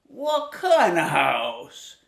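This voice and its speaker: A woman's voice: lowish voice, wildly swooping pitch, drawn-out words, vocal fry, a bit bright, roomy sound, full character voice. Lady doing the big bad wolf, sneaky and drawn out, swoops up and down. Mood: coaxing. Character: sly big bad male wolf, drawling and loud.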